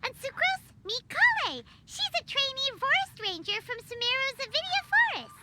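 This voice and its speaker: A woman voicing a small, chirpy, bossy female companion in a video game, with a high squeaky childlike voice that speaks of herself in the third person. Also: moderate pace, very noisy. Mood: cheerful. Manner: excitable, talks about herself in third person. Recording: game soundtrack music behind.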